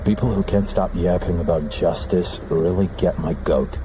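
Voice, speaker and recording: A man's voice: low voice, fairly even intonation, moderate pace, noisy background, lightly acted. A man voicing a sullen, scornful enemy henchman in a video game, with a grumbling delivery.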